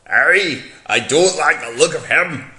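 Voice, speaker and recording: Man putting on belligerent shouty Scottish dad. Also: fairly high pitch, animated, a little slow, exaggerated voice. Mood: furious. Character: angry, threatening Scottish father with a shotgun. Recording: echoey room.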